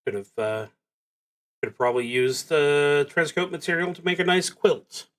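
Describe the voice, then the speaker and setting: A man thinking aloud as he narrates a solo tabletop roleplaying game, in a halting, musing, wry way. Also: a little high, animated.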